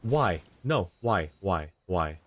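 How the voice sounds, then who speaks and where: Low-voiced man streaming a game. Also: animated, slow pace. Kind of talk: casual live gameplay commentary, chatting with viewers and reading dialogue aloud.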